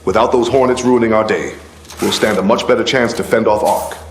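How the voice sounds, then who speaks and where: An actor playing a friendly, encouraging tinkerer who hands out jobs in a post-apocalyptic video game. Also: male voice, on the low side, fairly even intonation, brisk, reverberant, faint music behind, natural voice. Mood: encouraging, practical. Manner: casual, encouraging, conversational. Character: friendly male quest-giver and tinkerer in post-apocalyptic settlement. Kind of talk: video game character dialogue.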